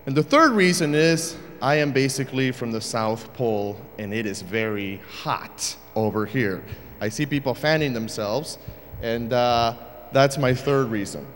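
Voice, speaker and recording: A man's voice: mid-range voice, long reverb tail, a little hiss or hum. A man from Uruguay preaching a sermon as a guest speaker, joking casually at first and then building to emphatic points. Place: church sanctuary.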